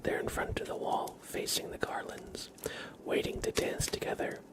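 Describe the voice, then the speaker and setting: A man hosting an ASMR livestream in a soft whisper, gently addressing listeners directly. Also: normal speed.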